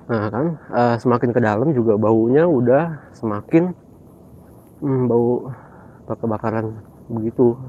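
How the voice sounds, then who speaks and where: A man's voice: medium pitch, measured pace, dark tone, breathy edge, far from the mic, muffled recording. A man with a cold, co-hosting a ghost-hunting vlog and telling viewers about an abandoned factory at night. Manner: chatty, explains eagerly to viewers. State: has a cold. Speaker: co-host of a ghost-hunting channel. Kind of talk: casual chat on location.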